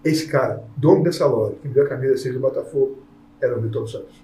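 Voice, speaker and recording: A man hosting a casual podcast conversation, a lively storyteller who acts out the people in his anecdotes. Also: medium pitch, quick pace, slight background noise. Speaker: podcast host. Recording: roomy sound from a distant mic.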